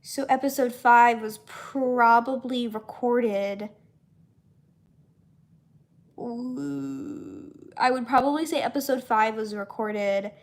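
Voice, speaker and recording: High-voiced young woman, slow rambling chat. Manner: halting, rambling, with trailing thoughts and repeated words. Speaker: voice actor and comic dub creator chatting with viewers. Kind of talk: casual unscripted discussion.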